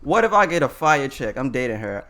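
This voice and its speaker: A man's voice: mid-range voice, very animated, brisk. A man co-hosting a casual podcast chat, slangy and joking, posing hypotheticals to his friends. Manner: slangy, laughs loudly, poses hypotheticals. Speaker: podcast co-host.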